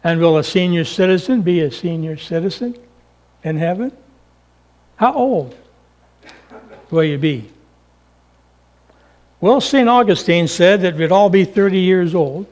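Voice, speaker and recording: A man's voice: sits high, unhurried, long pauses, a little mellow. A man in his seventies preaching a sermon in a folksy, humorous way, full of rhetorical questions. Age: 70s.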